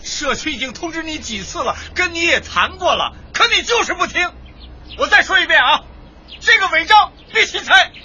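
Sharp, exasperated official. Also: male voice, very high-pitched, expressive, normal speed, full character voice. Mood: stern. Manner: scolding, insistent. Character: exasperated, officious deputy director of urban management. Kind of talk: radio drama acting. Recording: muffled, outdoor crowd and traffic noise.

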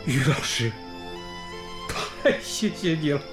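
A man's voice: fairly high pitch, lively intonation, slow. An actor playing a kindly old village school principal in a radio drama, effusive and homely, sighing and exclaiming as he talks. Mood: warm, welcoming. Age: older, around sixties. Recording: music bed under the voice.

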